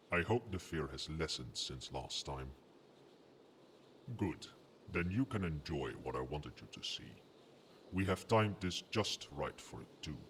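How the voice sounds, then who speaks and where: Guy doing a giant old dragon, super deep, calm n fond, formal musing, asmr roleplay w loud ambience behind him. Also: a little hiss or hum.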